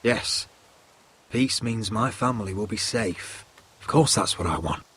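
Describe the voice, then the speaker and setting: A man's voice: fairly low pitch, a little slow, a bit bright, slightly rough. A man voice acting a resolute young lord, protective of his family, in a fantasy game drama, with a solemn, firm, formal delivery.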